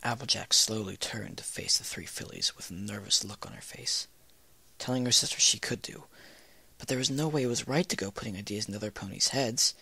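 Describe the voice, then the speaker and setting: Gravelly, forceful male story reader. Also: on the low side, fairly quick, no room sound. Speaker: story reader, series host. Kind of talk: scripted narration of fan fiction.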